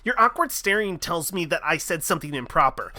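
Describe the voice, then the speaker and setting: A fussy, nerdy young blacksmith woman, as a streamer reads her lines in a lifted, sharper voice. She speaks in stiff, formal sentences and trips over a word now and then. Her pitch moves around a lot. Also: big pitch swings, brisk, sharp tone. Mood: awkward. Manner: stiff, formal speech read aloud with stumbles.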